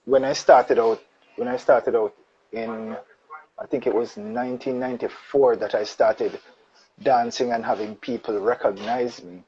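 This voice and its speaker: A man from Jamaica with a Jamaican accent, a guest on a casual live-stream interview, speaking in a relaxed way with patois phrases. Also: mid-range voice, a little slow. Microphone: phone on a live video call. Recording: dull lo-fi live-stream audio with faint noise.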